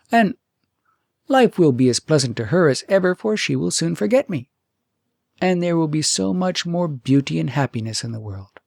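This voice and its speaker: A man's voice: fairly high pitch, creaky, slightly rough, no room sound, clean studio sound, full character voice. Man playing a musing, nonchalant young prince. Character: lovelorn, self-sacrificing young fairytale prince, nonchalant. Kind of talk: storybook character acting.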